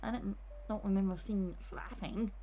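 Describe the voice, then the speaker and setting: A woman's voice: medium pitch, normal speed, lo-fi sound, noisy background. A woman game streamer giving casual, musing commentary in a conversational way as she plays. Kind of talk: casual off-the-cuff gameplay commentary.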